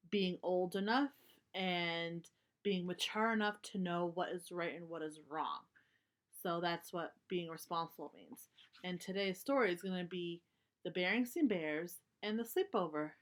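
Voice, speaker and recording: A woman's voice: on the low side, unhurried, pushed and bright. A woman reading a children's story aloud, explaining things in simple terms for young listeners.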